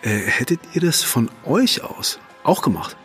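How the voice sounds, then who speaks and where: An actor playing a careful, slightly incredulous male questioner in a German audio drama, halting and double-checking as he asks. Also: medium pitch, very animated, a bit bright, faint music behind. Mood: probing, incredulous.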